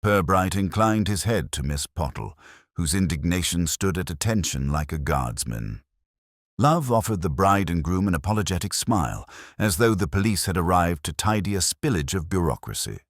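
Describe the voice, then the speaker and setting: A man with an extremely low, slightly rough voice narrates a mystery story. His delivery is measured and dryly wry, with lively rises and falls. The sound is close and dry, with little room around it. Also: no echo. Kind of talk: scripted audiobook-style mystery narration.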